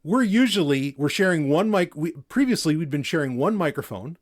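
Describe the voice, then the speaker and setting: A man's voice: fairly high pitch, expressive, fairly quick. A man hosting a podcast from a home setup, talking casually into a mic on an arm, rambling with lots of fillers and restarts. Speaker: podcast host. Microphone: studio mic on an arm.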